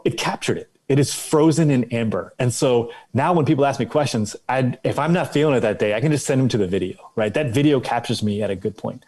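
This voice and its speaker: A man's voice: lowish voice, darkish tone. A middle-aged man as a podcast guest, speaking fluently and animatedly and asking reflective questions over a video call. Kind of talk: casual video-call interview. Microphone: headphones with headset, video call.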